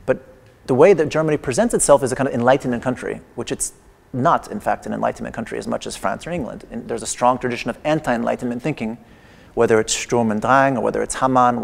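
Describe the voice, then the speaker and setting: Man, native Persian speaker, artist lecturing with dry digressive humor; gravelly texture, long hall reverb. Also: mid-range voice, a little mellow. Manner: wry, digressive, with playful humor. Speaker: artist giving a lecture performance. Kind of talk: lecture performance. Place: large exhibition hall in a museum.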